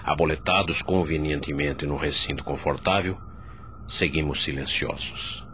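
A departed doctor recalling the afterlife in a Brazilian radio drama. His voice is extremely low yet bright and firm, and his phrasing is formal and measured. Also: slight background noise. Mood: measured recollection. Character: male doctor's spirit recounting his arrival in afterlife. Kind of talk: scripted radio drama narration.

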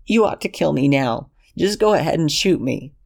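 A man voicing a panicked, unhinged young man who pleads and whines in a high, strained voice. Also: animated, moderate pace, vocal fry, darkish tone. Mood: pleading.

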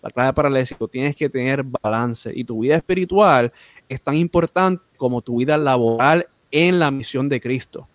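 Man, interview host, preachy, repeats himself, dry close sound, mid pitch. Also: moderate pace, no room sound. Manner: preachy, repeats phrases for emphasis.

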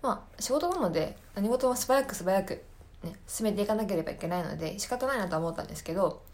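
A woman's voice: mid-range voice, lively intonation, quick pace, darkish tone. A woman hosting a podcast, talking casually about her own life in a hesitant, meandering, self-deprecating way. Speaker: podcast host.

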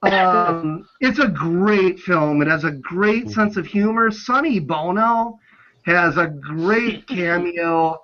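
Casting: male movie-podcast panelist, high-pitched, ardent and rambling, muffled recording. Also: slow pace, sharp tone. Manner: passionate, rambling fanboy enthusiasm. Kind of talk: casual film discussion.

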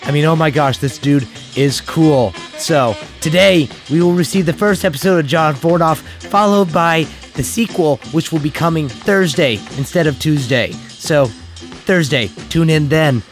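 Hoarse, chatty male podcast host. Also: fairly high pitch, normal speed, soft background music. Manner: chatty, laughing. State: hoarse from illness. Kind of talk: casual show intro.

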